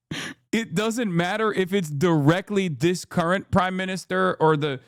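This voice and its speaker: A man hosting a stream, giving casual reaction commentary in an animated, sarcastic way and swearing often. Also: high-pitched, no room sound, clean studio sound. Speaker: streamer host.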